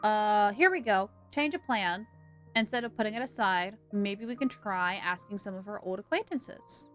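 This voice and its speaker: A woman's voice: medium pitch, lively intonation, normal speed, fairly bright tone, soft background music. A woman live streamer giving casual, chatty commentary on a video game, thinking aloud and repeating herself.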